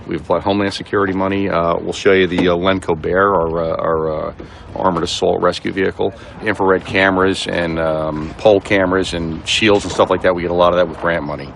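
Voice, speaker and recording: A middle-aged American man, a police officer explaining things informally in a halting, matter-of-fact way. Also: low voice, creaky, a bit bright.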